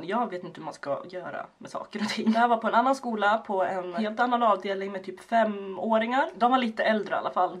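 A woman, telling stories casually and playfully to camera as a vlogger. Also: mid-range voice. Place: small bedroom indoors.

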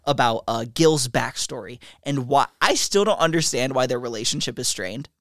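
A young man in his twenties co-hosting a casual book review podcast, animated and sarcastic, often laughing, on a boom mic in a small home studio. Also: normal speed, dry recording. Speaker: podcast co-host. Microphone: boom mic on stand.

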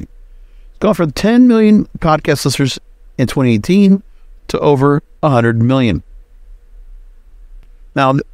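A man's voice: a little high, darkish tone, no room sound. A man hosting a solo podcast, giving news commentary in a conversational, loosely off-the-cuff way into a close microphone. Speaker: podcast host.

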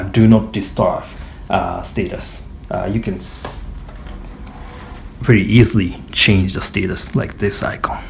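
A man giving an informal product demonstration in a hesitant, halting way with frequent pauses. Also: lowish voice, gravelly, a little mellow. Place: small indoor office or meeting room. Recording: echoey room, faint background noise.

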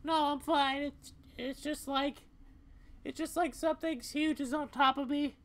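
A woman voicing a shaken, stubborn young female cat in a video game, speaking in a halting, weary way. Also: high voice, fairly even intonation. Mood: shaken, strained. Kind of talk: amateur video game voice-over.

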